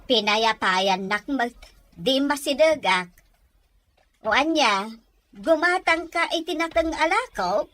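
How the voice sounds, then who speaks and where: Woman playing a slow, high-voiced older vendor. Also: expressive, fairly bright tone, exaggerated voice. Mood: brisk, wary. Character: older village vegetable seller, exasperated but polite. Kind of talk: Ilocano audio drama acting.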